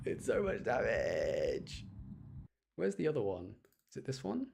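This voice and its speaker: A man giving casual gameplay commentary in an excitable way, repeating phrases for emphasis as he shows clips. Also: medium pitch, a little slow, vocal fry, a little hiss or hum. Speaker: gamer showing gameplay clips.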